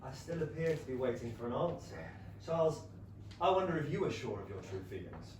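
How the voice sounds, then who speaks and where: An actor playing a proud, aloof Regency gentleman with a formal, dry, clipped manner. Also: mid-range voice, lively intonation, brisk, natural voice. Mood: probing. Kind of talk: period comedy stage acting. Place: small London theatre. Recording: very echoey theatre, far mic, faint noise.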